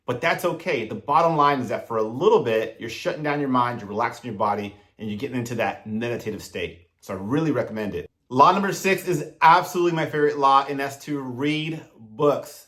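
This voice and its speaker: Man in his thirties, a self-improvement vlogger with an earnest, direct coaching delivery and an average-pitched voice. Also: a little fast, hardly any pauses, fairly bright tone. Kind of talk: motivational advice monologue to camera.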